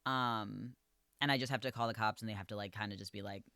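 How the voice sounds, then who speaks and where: A man who manages a coffee shop, talking casually and calmly, with a voice that projects and lots of "like" and "um.". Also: fairly low pitch, fast talker, gravelly, dry recording, clean studio sound. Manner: calm, easygoing, filler-heavy with lots of "like" and "um". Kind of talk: casual podcast chat.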